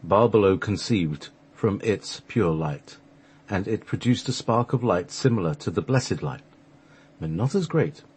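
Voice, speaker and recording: A man reading scripted radio play narration in measured, solemn phrases, with a husky voice. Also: on the low side, expressive, a little mellow. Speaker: radio show host and narrator.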